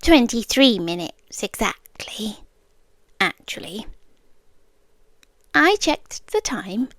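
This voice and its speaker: Woman playing a soft, slow British yandere girlfriend, high-voiced. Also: no room sound, full character voice. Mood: gently reassuring. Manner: slow, deliberate, unnervingly calm, often drifting into whispers. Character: calm, obsessive yandere stalker girlfriend, young woman. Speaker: ASMR roleplay performer. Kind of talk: ASMR roleplay, soft close speech. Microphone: close microphone.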